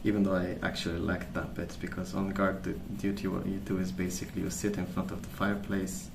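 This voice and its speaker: A man speaking softly as an ASMR host, rambling through stories in a hesitant, pausing, unhurried way. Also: sits low, monotone, gravelly, background noise. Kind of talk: soft-spoken ASMR rambling.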